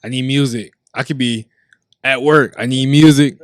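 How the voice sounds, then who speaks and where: Man, DJ guest, emphatic, repeats himself, curses a lot, middle pitch, dry mic. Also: steady tone, moderate pace, no echo. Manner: emphatic, profane, repetitive. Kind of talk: casual podcast chat.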